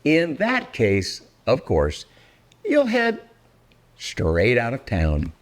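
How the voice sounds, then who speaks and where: An older man performing a children's story aloud in a theatrical, deliberate, welcoming manner. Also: mid-range voice, huge pitch swings. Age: 60s or older. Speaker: storyteller and host.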